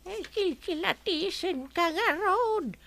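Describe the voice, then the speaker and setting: A shrill, piercing old aunt in a radio comedy, drawling slowly and sounding bright and pointed. She is blunt and suspicious, and her teasing lands hard. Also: animated, vocal fry, no echo, exaggerated voice. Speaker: visiting aunt.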